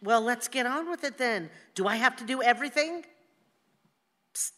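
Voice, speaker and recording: An actress in a radio play voicing an impatient, bossy member of an acting troupe. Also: female voice, on the high side, animated, moderate pace, sharp tone. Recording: long hall-like reverb.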